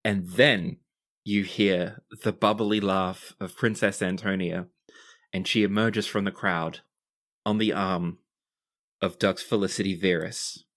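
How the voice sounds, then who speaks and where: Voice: sits low, fairly even intonation, takes it slowly, a bit bright. A game master narrating scenes and characters' actions in a tabletop role-playing game.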